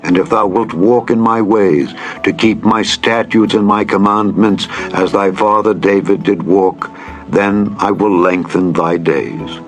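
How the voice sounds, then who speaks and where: Man reading as stately low-voiced God. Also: steady tone, unhurried, lightly acted. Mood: solemn, benevolent. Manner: stately, declarative, formal. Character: solemn, benevolent male God granting a wish. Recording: music bed under the reading.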